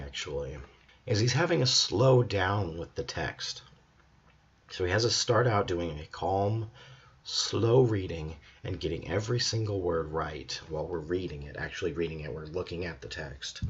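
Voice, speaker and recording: A hoarse young man, a drama student, talking earnestly and reflectively in a personal video journal. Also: deep voice, fairly bright tone, muffled recording. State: hoarse, voice hard to hear.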